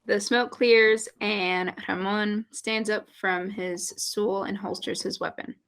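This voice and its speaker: Female table-read narrator: mid-pitched, gravelly fry, scripted stage-direction delivery. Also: fairly even intonation, slow pace, fairly bright tone.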